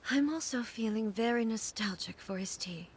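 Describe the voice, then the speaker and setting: A woman's voice: a little high, normal speed, noisy background, natural voice. A woman playing a composed, dignified ruler in an anime game, speaking formally and with reserve. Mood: nostalgic. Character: composed, dignified, ageless female ruler of a nation. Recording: soft game music behind.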